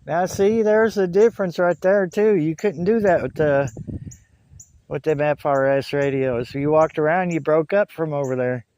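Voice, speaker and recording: A man's voice: on the high side, normal speed, darkish tone, slight background noise. A man hosting a gear review, talking casually and in folksy, colloquial phrasing to camera and over a two-way radio. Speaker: video host reviewing walkie-talkies. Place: outdoors on a hill.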